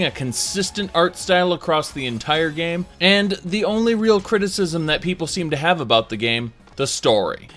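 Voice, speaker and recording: A man with a fairly high voice reviews a video game over background music. His delivery is informal and conversational, with emphatic phrasing and dramatic pauses. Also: fairly bright tone. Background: music under the voice.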